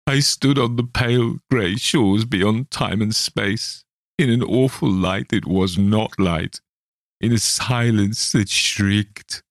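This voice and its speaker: A man's voice: fairly low pitch, slow pace, dry recording, studio quality, pitched above the performer's own voice. A man reading aloud, voicing a hysterical, terrified man who shrieks and trembles about what he has seen. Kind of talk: audiobook character voice.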